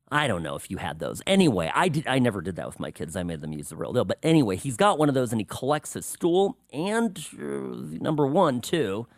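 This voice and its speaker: An American man hosts a political radio show, talking alone in a sarcastic, chatty way with little confiding asides. His pitch swings widely as he talks. The booth sound is close and dry. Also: dry recording. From United States. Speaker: radio talk show host. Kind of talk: political talk radio monologue.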